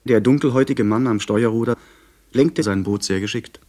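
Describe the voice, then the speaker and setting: An actor playing an earnest, polite young gentleman adventurer in a 1970s German radio drama. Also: mid-range voice, moderate pace, natural voice. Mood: measured, narrating.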